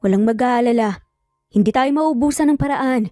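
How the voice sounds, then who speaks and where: A stern, protective father played by a woman narrator, speaking firmly and with measure. The voice is mellow and fairly high, recorded dry for an audio story. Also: no room sound, faint music behind, natural voice. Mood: determined. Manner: cold, firm, measured.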